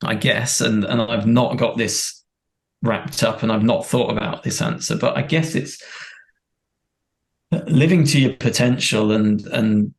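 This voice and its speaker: A man's voice: lowish voice, steady tone, unhurried, creaky. A man who grew up in Thailand and India, a performance director, talking earnestly and reflectively in a podcast interview, often restarting his sentences.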